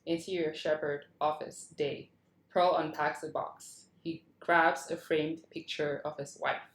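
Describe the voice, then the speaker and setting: A woman's voice: deep voice, little pitch movement, measured pace. A woman reading out scene headings and action lines from a script as scripted narration. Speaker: reader of stage directions at a table read. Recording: roomy, echoing video call audio.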